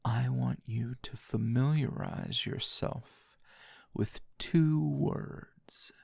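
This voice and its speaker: A man's voice: medium pitch, drawn-out words, vocal fry, darkish tone, slightly rough, no echo, clean studio sound, exaggerated voice. A man playing a teasing, dominant, seasoned anime hero as a tender lover in an intimate ASMR roleplay, murmuring breathily and slipping into whispers. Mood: teasing, commanding.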